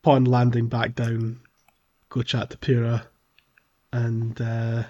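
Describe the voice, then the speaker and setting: A man co-hosting a podcast, talking casually about a video game with hesitant, measured phrasing. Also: mid-range voice, completely flat delivery, warm tone, lo-fi sound. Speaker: podcast co-host.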